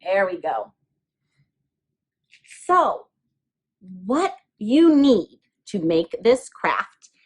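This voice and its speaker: Female primary teacher, children's craft demo: unhurried, expressive pitch, patient and simple step-by-step wording.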